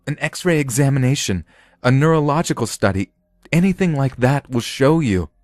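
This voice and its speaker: A man's voice: medium pitch, expressive, moderate pace, darkish tone, no echo, clean studio sound, voice pushed up, close to an everyday voice. A man voicing a frantic, pleading man accused of being a robot in a science fiction story. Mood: desperate, insistent. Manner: pleading, insistent. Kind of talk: dramatised science fiction audiobook.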